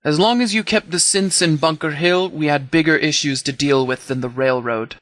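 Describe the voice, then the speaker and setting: A man's voice: fairly high pitch, moderate pace, a bit bright, no room sound, natural voice. A man playing a stern, blunt, battle-weary military leader in a video game, with a firm, clipped, authoritative delivery. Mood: stern, hostile. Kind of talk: video game dialogue acting.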